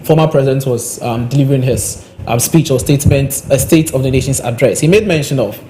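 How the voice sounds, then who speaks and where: A man co-hosting a live broadcast, talking in a measured way with frequent hesitations. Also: mid-range voice, dark tone, a little hiss or hum. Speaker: radio talk show co-host.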